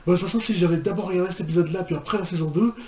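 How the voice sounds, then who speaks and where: A man's voice: sits high, very fast, a little mellow. A man giving a casual, hesitant reaction commentary, rambling and repeating his phrases. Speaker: reaction video host. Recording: echoey room with background noise.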